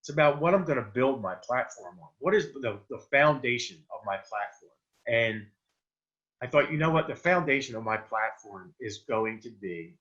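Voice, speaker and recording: A man's voice: medium pitch, a bit bright. A man from Delaware, a political candidate, giving an earnest, plain-spoken campaign talk on a video call with many fillers. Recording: dull lo-fi video call audio.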